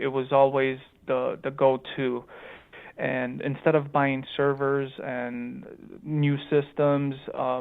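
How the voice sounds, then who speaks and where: A man's voice: medium pitch, vocal fry. A man born in Romania and raised in Chicago, with a slight Romanian accent mixed with a Chicago one, answering interview questions casually and hesitantly, with many ums and restarts. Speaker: startup founder guest. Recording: tinny phone-line sound.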